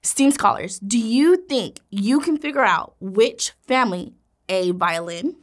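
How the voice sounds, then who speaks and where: A woman in her thirties, a teacher presenting a kids' educational video with an animated, encouraging manner. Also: on the high side, a little slow. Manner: animated, encouraging, gestures while speaking. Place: indoor classroom studio set.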